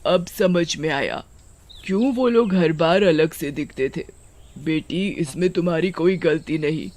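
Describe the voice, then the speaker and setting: Man playing a high-voiced kindly witch. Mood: realizing. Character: kindly old witch, stern but forgiving, motherly. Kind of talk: children's cartoon story acting.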